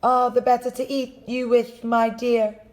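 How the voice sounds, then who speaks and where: A woman voicing a wicked male wolf in a read-aloud storybook, with a sweetly mocking, high and loud manner. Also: monotone, measured pace, close to an everyday voice. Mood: sly.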